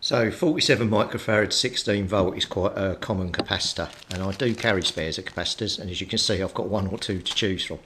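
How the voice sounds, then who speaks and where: Low-voiced British man explaining repairs. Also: quick pace, hardly any pauses, sharp tone, slight background noise. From Britain. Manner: practical, step-by-step explaining. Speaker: radio repair hobbyist and video host. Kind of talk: hands-on repair tutorial, talking while working.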